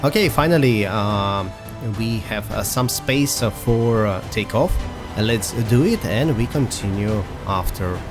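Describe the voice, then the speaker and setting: A man presenting a semi-scripted software promo narration in a halting way, with frequent pauses. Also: lowish voice, lively intonation. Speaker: presenter of a software roadmap video. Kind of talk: semi-scripted promotional narration over a flight simulator demo. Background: music.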